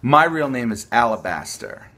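A young man making a prank phone call from a room at home, asking questions in a deadpan, mock-earnest way. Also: medium pitch, normal speed, faint music behind. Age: young adult. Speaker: prank caller, video host. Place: small furnished room at home, indoors.

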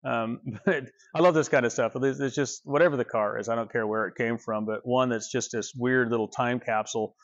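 A man's voice: mid-range voice, fairly quick, lo-fi sound. Man rambling casually on a shop tour. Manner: relaxed, rambling, many fillers and restarts. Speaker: restoration shop owner giving a tour. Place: large indoor car restoration workshop.

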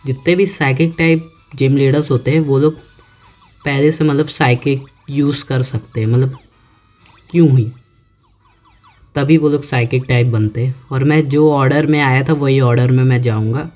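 A man giving casual let's-play commentary, chatting to himself and reading on-screen text aloud slowly. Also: mid-range voice, steady tone, a little mellow. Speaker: gaming YouTuber. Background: faint game music.

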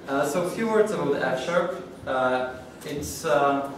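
A young man from Poland gives a technical talk to a room of developers, stopping and restarting often and filling gaps with fillers. His voice sits at an ordinary pitch for a man. It was picked up from well away by a distant mic in a very echoey room with steady noise behind. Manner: halting, many fillers and restarts. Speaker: conference speaker. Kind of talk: technical talk at a user group. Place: meeting room with audience.

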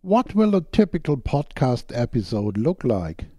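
A man's voice: mid-range voice, sing-song, measured pace, warm tone, no echo, studio quality. A man working as a podcast interviewer, speaking in a prepared, scripted style.

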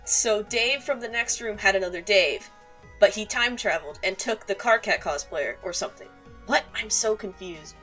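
A woman plays a breezy young female fan in a cartoon dub. Her voice is bright and medium-pitched, with a casual, teasing, slangy delivery. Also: moderate pace, soft background music, lightly acted. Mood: confused. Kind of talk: animated comic dub voice acting.

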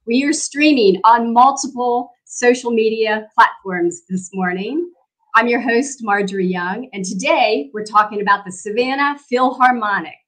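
A woman's voice: fairly high pitch, normal speed, fairly bright tone, poor audio. Woman hosting a live video-call show. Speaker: host.

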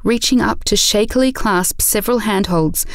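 A woman's voice: mid-range voice, moderate pace, no room sound. A woman narrating a scripted audio drama story.

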